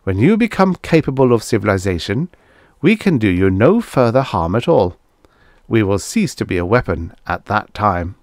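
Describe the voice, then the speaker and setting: Man voicing a calm, precise alien machine oracle. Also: fairly low pitch, no echo, clean studio sound. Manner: formal, measured, pedantic.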